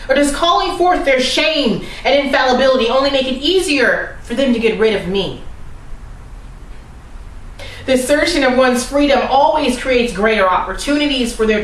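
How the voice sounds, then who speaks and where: A woman performing a dramatic monologue as a defiant, oppressed defendant, speaking deliberately and solemnly with weighted pauses. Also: a little high, natural voice. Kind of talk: dramatic courtroom monologue reading. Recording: roomy, distant mic with faint noise.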